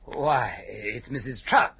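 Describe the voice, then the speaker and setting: An actor playing a proper, anxious gentleman solicitor in an old radio drama, formal, earnest and a little hesitant. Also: mid-range voice, slow, gravelly, heavily acted. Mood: uneasy, earnest. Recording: dull, lightly noisy vintage radio recording.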